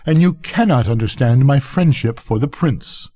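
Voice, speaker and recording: A man's voice: medium pitch, expressive, moderate pace, mellow, pitched above the performer's own voice, close to an everyday voice. An older man voicing a vain, self-pitying firework rocket in a bedtime story, pompous, indignant and declamatory. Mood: haughty.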